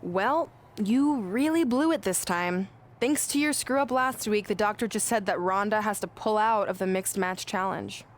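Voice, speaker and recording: A woman's voice: mid-range voice, moderate pace, few pauses, close to an everyday voice. Woman playing a smug, taunting wrestling boss. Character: smug, scheming female wrestling boss, breezy and self-satisfied. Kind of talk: video game cutscene acting.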